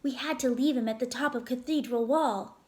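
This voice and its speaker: A woman's voice: high voice, a little fast, darkish tone, close to an everyday voice. A woman voicing an anxious female mountain climber in a children's storybook, urgent and apologetic. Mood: alarmed. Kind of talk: children's storybook read-aloud.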